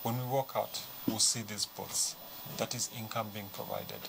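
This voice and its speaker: A man's voice: on the low side, fairly even intonation, moderate pace. A man with a Zambian accent explaining as a panel guest, conversational and given to rhetorical questions. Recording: clear background noise.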